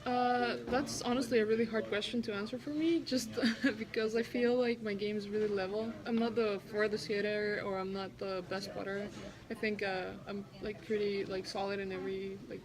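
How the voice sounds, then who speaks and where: A woman's voice: medium pitch, level tone, normal speed, a crowd in the background, poor audio. A young woman from Ecuador, a professional golfer, answering interview questions casually with lots of fillers and hesitations. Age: 20s. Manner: hesitant, many fillers, laughs often.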